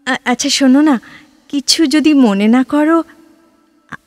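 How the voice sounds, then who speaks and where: A woman's voice: sits high, unhurried, dry recording, clean studio sound. An actress playing an ardent, wistful young woman in a Bengali audio drama, with hesitant repeats and emotional exclamations. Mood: eager, wondering. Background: background music.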